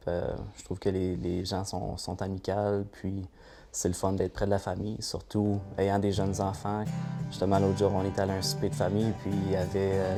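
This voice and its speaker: A man, a guitar maker speaking calmly with hesitant fillers in a documentary interview. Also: deep voice, creaky. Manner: calm, soft-spoken, hesitant fillers.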